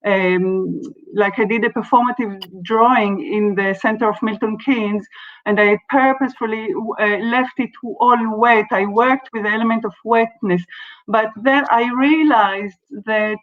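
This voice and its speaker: A woman from Israel, an artist and researcher, answering a question in an online seminar with many pauses and restarts. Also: on the high side, steady tone, highs cut off. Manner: hesitant, many fillers and restarts. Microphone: video call.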